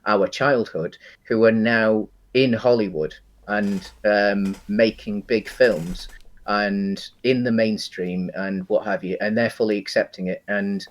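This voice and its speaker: Low-pitched British man, halting casual talker. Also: steady tone. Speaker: podcast co-host. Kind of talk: casual film and games discussion.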